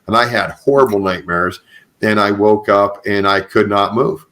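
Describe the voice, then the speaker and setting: A man with a low-pitched voice hosts a podcast interview. His delivery is casual and informal, in the style of an everyday conversation. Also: fairly even intonation, moderate pace, fairly bright tone, poor audio. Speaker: podcast host.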